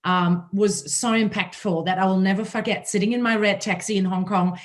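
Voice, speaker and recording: A woman with a German accent and a raspy voice, hosting a panel talk and telling her own story in a conversational way. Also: mid-range voice, steady tone, normal speed. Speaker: host.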